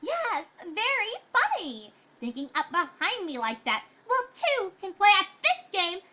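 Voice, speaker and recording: A woman's voice: very high-pitched, huge pitch swings, a little slow, bright, forceful tone, voice pushed up, heavily acted. A woman voicing a bratty, hot-tempered young filly pony in a snappy, indignant manner for a story reading. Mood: angry, snapping.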